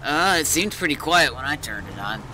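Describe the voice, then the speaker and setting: A man's voice: fairly high pitch, animated, brisk, pushed and bright, poor audio. A man talking casually with other players during an online roleplay game. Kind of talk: casual chat while gaming. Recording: game voice chat with faint vehicle noise. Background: faint vehicle and game ambience.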